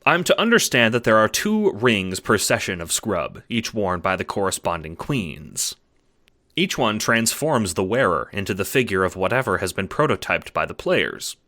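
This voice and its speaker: Man as scholarly alien narrator, lowish pitch, very expressive melody, formal, precise, pedantic, audiobook delivery. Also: moderate pace, heavily acted. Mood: measured, pedantic. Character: precise, fussy, erudite alien troll recounting events. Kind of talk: scripted audiobook narration in character.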